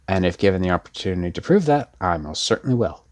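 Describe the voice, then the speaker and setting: Casting: male actor for a deep-voiced, laid-back young male rebel, self-deprecating and wry, rambling delivery, roleplay audio drama. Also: animated, fast talker. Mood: teasing, rambling. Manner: rambling, wry, sardonic, casual.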